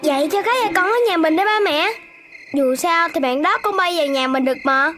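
A woman's voice: high voice, brisk, a bit bright, slight background noise. A woman voicing a curious, cheerful little puppy boy in a children's cartoon, childlike and eager.

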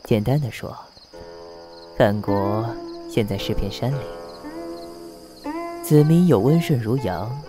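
A man acting in a costume audio drama as a composed, shrewd prince, speaking with measured, deliberate pauses and cold disdain. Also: medium pitch, animated, over music. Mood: solemn, disdainful. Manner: measured, deliberate, pausing between phrases.

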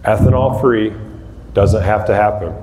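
A man's voice: fairly low pitch, unhurried, a little mellow. Plainspoken man in an echoey hall. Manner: plainspoken, practical, frequent ums and restarts. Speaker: demolition derby builder giving a seminar. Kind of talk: casual talk to a small audience. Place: large hall with rows of empty chairs, indoors. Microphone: far camera across the room. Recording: echoey hall, faint background hiss.

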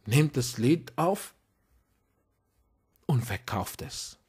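A man preaching a sermon in a language he learned as an adult, hesitant and repeating words, then rising to emphatic, high-pitched exhortation. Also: animated, very slow, a little mellow, breathy edge. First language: not German. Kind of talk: impassioned sermon.

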